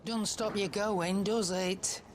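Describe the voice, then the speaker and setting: A woman's voice: medium pitch, steady tone, takes it slowly, background noise, lightly acted. A woman playing a brusque, grumbling middle-aged storekeeper with a British accent in a video game, tart and colloquial. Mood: wry. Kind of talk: cinematic video game acting.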